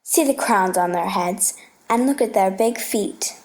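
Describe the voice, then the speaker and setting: A woman's voice: medium pitch, a little slow, close to an everyday voice. Woman reading as a patient, playful scholarly uncle. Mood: patient, explaining. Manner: patient, explanatory, teasing. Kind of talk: storybook play reading.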